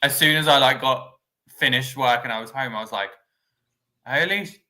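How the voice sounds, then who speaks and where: A man, co-hosting a podcast in excitable casual chat full of "like". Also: medium pitch, normal speed. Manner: excitable, filler-heavy, lots of "like". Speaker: podcast co-host.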